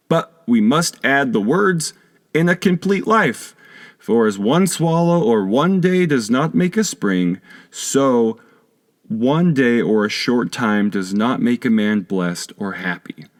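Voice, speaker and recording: A man reads a scholarly text aloud in a formal, measured manner. His mid-pitched voice rises and falls widely, giving the scripted narration a very varied melody. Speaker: audiobook reader.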